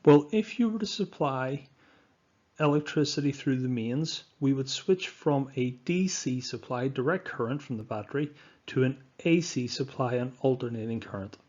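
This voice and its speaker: A man narrating a lesson as a science teacher, speaking in an instructive, deliberate way with emphatic stresses, on a dull recording. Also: medium pitch, a little mellow. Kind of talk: scripted lesson narration over slides.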